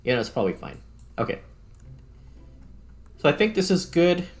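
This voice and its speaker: A man's voice: fairly high pitch, big pitch swings, moderate pace. A man doing casual let's-play commentary, thinking aloud informally as he works through a game. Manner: informal, muttering to himself while working. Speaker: gaming video host, player. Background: background music.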